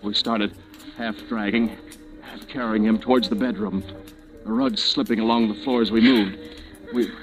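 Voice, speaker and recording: A man's voice: lowish voice, steady tone, normal speed, faint music behind, close to an everyday voice, poor audio. An actor playing an anxious, evasive young man with a secret in an old-time radio suspense drama, tense and stammering. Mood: tense narrating. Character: nervous young man hiding a terrible secret. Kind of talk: old-time radio suspense acting with first-person narration. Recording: old radio broadcast recording.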